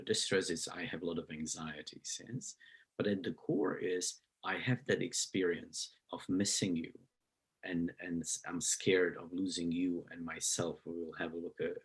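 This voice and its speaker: A middle-aged man with a Slovak accent delivers a psychology lecture in a very low, husky voice. He speaks hesitantly, filling pauses and restarting phrases as he explains. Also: fairly even intonation. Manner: hesitant, many fillers and restarts. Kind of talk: recorded lecture.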